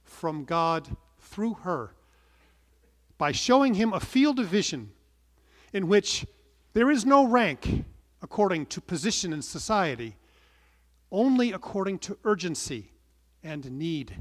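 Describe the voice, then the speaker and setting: A middle-aged man preaching a sermon from the pulpit, earnest and measured, stressing some words and letting phrase endings fall soft. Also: high-pitched, big pitch swings. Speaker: pastor. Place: large wood-panelled church, indoors. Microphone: pulpit microphone. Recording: long church reverb.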